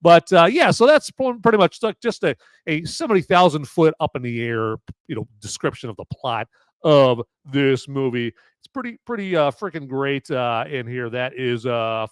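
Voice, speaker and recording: A man hosting a live movie review podcast, with a loud voice that carries, who rambles casually with lots of fillers and restarts. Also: on the high side, clean tone, dry recording.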